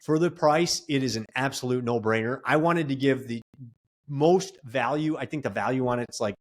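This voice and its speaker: An earnest man talks through his experience in long, run-on stretches at a mid-range pitch. He offers advice and lessons plainly, in the easy flow of a casual podcast interview. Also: moderate pace. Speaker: real estate investor and coach.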